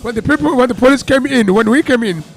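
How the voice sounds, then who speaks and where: A South African man with a gravelly voice, an actor chatting as an interview guest, laughing and repeating words as he thinks. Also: very high-pitched, fast, soft background music. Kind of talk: casual interview chat.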